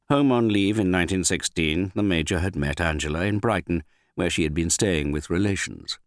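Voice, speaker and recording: A man narrating a literary novel as an audiobook reader, with a measured, understated delivery and finely modulated voice. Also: low-pitched, no room sound, studio quality.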